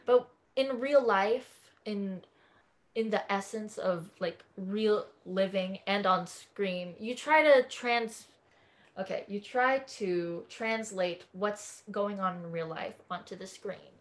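A woman's voice: mid-range voice, takes it slowly. A woman from California, a podcast guest talking in an effusive, earnest way in a casual interview chat.

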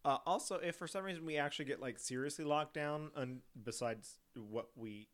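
A man's voice: fairly high pitch, brisk. A man co-hosting a podcast, teasing and playful in casual banter, often laughing. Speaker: podcast co-host. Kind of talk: casual chat.

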